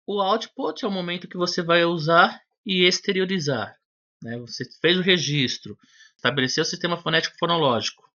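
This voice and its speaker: A man's voice: on the high side, a little fast, sharp tone. A man teaching a language lesson, explaining step by step in a methodical, didactic way and addressing the viewer directly. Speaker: English teacher. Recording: dull lo-fi video audio.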